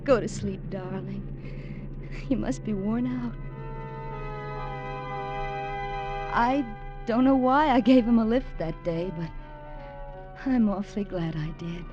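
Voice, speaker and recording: An actress playing a frightened, devoted young woman on the run in a 1950s radio drama, with an American accent and a halting, emotional delivery over a noisy old recording. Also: medium pitch, muffled recording, music in the background, natural voice. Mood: tender, weary. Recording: vintage radio recording with clear background noise.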